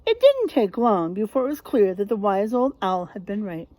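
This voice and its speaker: A woman reading a picture book aloud like a teacher, expressive and dramatic, pausing to put questions to young listeners. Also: medium pitch, dry recording, rough recording. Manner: animated, dramatic delivery with drawn-out words.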